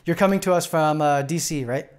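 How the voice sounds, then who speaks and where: A man hosting a podcast interview in a casual, relaxed way, leaning on fillers and "got it.". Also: a little high, a little fast, clean tone. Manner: relaxed, filler-heavy, says "got it" often. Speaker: podcast host.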